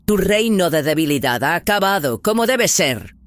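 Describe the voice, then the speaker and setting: A man's voice: a little high, big pitch swings, normal speed, voice pushed up. A man voicing a fanatical, defiant female rebel leader in a declamatory, commanding way, in a dramatized sci-fi audiobook. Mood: scornful, commanding.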